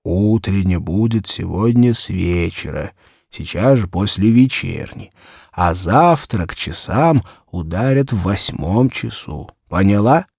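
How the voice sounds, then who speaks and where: A man voicing an old retired soldier who helps at church, explaining things in an emphatic, hushed manner in an audio story. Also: deep voice, expressive, a little slow, gravelly, husky, dry recording, clean studio sound, full character voice. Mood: instructive, insistent. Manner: half-whispered, emphatic, repeating instructions.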